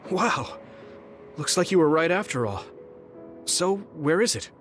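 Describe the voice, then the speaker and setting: Earnest, animated young anime fighter. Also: male voice, on the high side, a little slow, soft background music. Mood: gentle concern. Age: young adult. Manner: earnest, expressive. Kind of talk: English video game dub, anime style.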